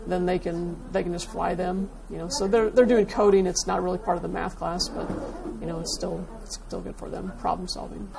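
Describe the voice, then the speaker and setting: A woman with an American accent talks casually as a teacher being interviewed. Her lowish voice is creaky with fry and stays very flat in melody. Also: a little fast, crowd noise, poor audio. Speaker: math teacher. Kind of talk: casual unscripted news interview.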